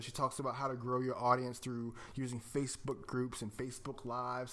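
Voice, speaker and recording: Bright-voiced man co-hosting a podcast. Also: mid-range voice. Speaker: podcast co-host. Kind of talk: casual podcast discussion recommending resources.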